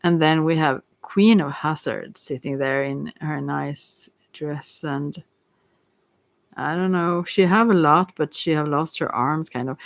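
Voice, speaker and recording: Low-voiced woman giving a soft tarot reading. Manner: soft-spoken, rambling, hesitant, giggly asides. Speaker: tarot reader and ASMR host. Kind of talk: casual ASMR tarot reading.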